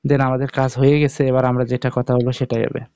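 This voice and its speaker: A man's voice: medium pitch, completely flat delivery, very fast, warm tone, clean tone, no echo, highs cut off. A man hosting an informal step-by-step app tutorial, speaking directly to viewers and checking in with them often. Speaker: tutorial video host.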